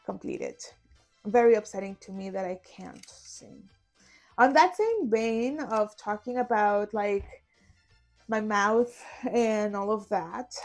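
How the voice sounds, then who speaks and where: A young woman, chatting casually and poking fun at herself while she solves a puzzle. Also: medium pitch. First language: Spanish. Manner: chatty and self-deprecating, rambling with fillers. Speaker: vlogger.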